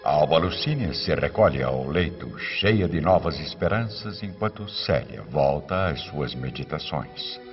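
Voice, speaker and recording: A long reverb and faint music surround this male narrator of a radio drama. His voice is extremely deep, and he reads the script solemnly and theatrically, in a muffled recording. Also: lively intonation, a little slow, few pauses.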